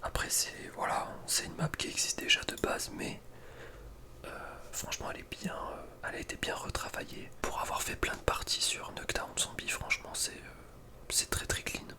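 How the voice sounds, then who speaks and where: Whispering man in casual ASMR commentary. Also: moderate pace. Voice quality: breathy whisper. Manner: informal, slangy. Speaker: ASMR video creator. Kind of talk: whispered ASMR casual commentary ranking video game maps.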